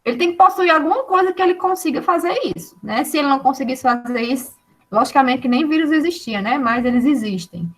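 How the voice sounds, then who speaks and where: A woman's voice: high voice, expressive, fast talker. A woman teaching an online class, explaining patiently and often checking with her students.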